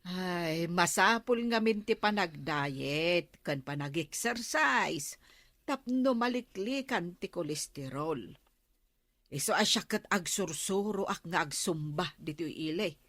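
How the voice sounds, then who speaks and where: A woman's voice: on the low side, lively intonation, slow pace, fairly bright tone, dry recording, heavily acted. An actress playing a concerned, chatty village woman who gives advice in a comedy radio drama. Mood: concerned, advising.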